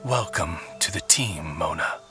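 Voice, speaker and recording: An actor voicing a refined, loyal raven familiar in a video game, speaking formally and eloquently. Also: male voice, deep voice, lively intonation, a little slow, over loud music. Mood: courteous, measured. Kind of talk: scripted video game dialogue.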